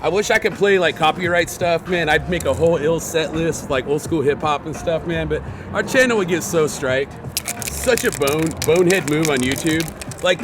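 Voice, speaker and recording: A man hosting a graffiti how-to, his voice hoarse, chatting casually and rambling in slang while he paints outdoors. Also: high voice. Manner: laid-back, rambling, slangy. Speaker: graffiti artist and channel host. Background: hip hop backing beats.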